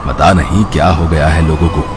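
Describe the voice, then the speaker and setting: A man playing a lazy, greedy village flower seller, theatrical and excitable with a husky voice, in a children's moral story. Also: a bass voice, moderate pace, noisy background. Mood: grumbling, defeated. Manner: theatrical, stammering when startled, exclaiming. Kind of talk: animated moral story dialogue.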